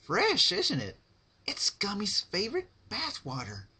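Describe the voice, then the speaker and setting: A man's voice: high-pitched, wildly swooping pitch, unhurried, sharp tone, slightly rough, pitched above the performer's own voice, heavily acted. A man voicing a cheerful but sadistic young female pony in a sing-song, mock-sweet way for a dramatic fan-fiction reading. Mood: cheerfully cruel.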